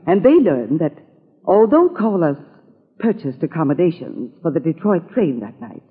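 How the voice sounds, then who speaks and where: An actress playing a suspicious, bitter middle-aged spinster in 1940s radio drama style, with dramatic, halting delivery. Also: female voice, low voice, sing-song, slightly rough, heavily acted. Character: suspicious, bitter spinster convinced her sister was murdered. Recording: muffled, reverberant old radio recording.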